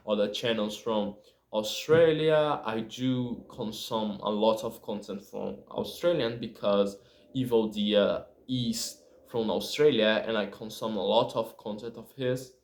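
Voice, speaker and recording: A Brazilian man with a Portuguese-speaker's accent talks casually in an echoey room. His pace is slow and his pitch sits in the middle of the male range. He halts, hunts for words and corrects himself as he goes. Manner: halting, self-correcting, searching for words. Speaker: video creator. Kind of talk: casual talk to camera about language learning.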